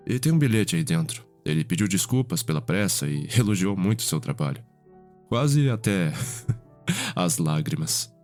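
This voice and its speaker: A man playing a composed, fond vampire healer and elder brother in an ASMR roleplay, speaking in a measured, formal way. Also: deep voice, darkish tone, close to an everyday voice. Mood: amused, fond.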